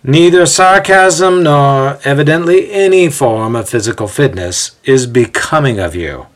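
Man putting on an impatient, pompous wiry man, swooping pitch. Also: sing-song, unhurried. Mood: haughty. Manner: haughty, dry, theatrical. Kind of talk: audio storybook character voice.

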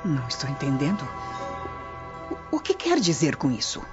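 A woman's voice: sits low, wildly swooping pitch, normal speed. An actress playing a scheming, anxious Roman noblewoman in a radio drama, formal and insistent in manner. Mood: uneasy, insistent. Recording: dull lo-fi radio drama recording with music. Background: music.

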